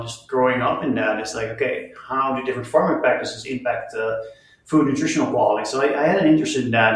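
A man from the Netherlands talking conversationally as a guest expert in an interview. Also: mid-range voice. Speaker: guest, nutrition scientist. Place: indoor room, in person with the hosts. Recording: very echoey, roomy sound.

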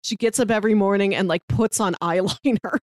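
A woman's voice: mid-range voice, brisk, no room sound, studio quality. A woman co-hosting a podcast in casual chat, talking chattily and qualifying her opinions as she goes. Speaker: podcast co-host. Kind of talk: casual chat about a TV drama.

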